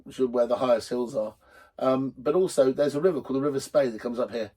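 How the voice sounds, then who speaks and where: A middle-aged man presents a drinks show straight to camera. His voice is husky and sits at an ordinary male pitch. He talks off the cuff, rambling and joking, with blunt, sweary asides. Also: fast, warm tone. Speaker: host of a drinks video show. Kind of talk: casual, unscripted chat to camera.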